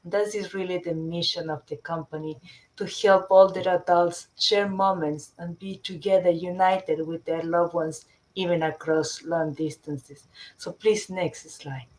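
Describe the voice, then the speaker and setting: A middle-aged woman from Chile, a company founder presenting her product in a webinar, often pausing with fillers and restarting sentences. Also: fairly low pitch, fairly even intonation, a little mellow, rough recording. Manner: hesitant, many fillers and restarts.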